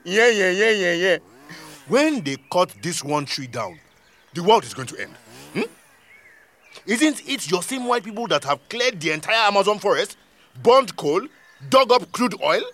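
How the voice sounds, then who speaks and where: A man's voice: high-pitched, big pitch swings, unhurried, fairly bright tone, heavily acted. A man with a Nigerian accent acting a stubborn, mocking village community leader in an audio drama, arguing with rhetorical questions.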